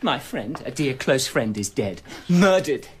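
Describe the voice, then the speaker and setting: A man's voice: medium pitch, lively intonation, unhurried, natural voice. An actor playing a bitter, grief-stricken male houseguest in a radio drama, impassioned, sarcastic and accusatory. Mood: outraged grief.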